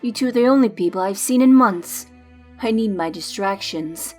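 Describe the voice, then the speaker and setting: A woman playing a restless, sharp-tongued young fugitive, haughty and impatient, in a comic dub. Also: medium pitch, normal speed, faint music behind, natural voice. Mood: bored, pouting. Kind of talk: amateur comic dub voice acting.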